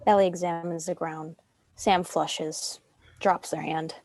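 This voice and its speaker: A woman's voice: fairly low pitch, fairly even intonation, slow, a little mellow, dry recording. A woman reading stage directions as scripted narration for a dramatic script reading.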